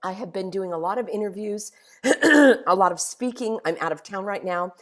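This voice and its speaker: A middle-aged woman hosting a motivational monologue in a hoarse voice, stringing together emphatic rhetorical questions. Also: medium pitch, moderate pace. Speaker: host.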